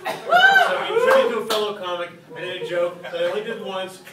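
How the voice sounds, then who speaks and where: A man's voice: high voice, wildly swooping pitch, a little fast. A middle-aged man, a stand-up comedian, chatting casually on stage at a live show. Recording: echoey distant live club with loud crowd.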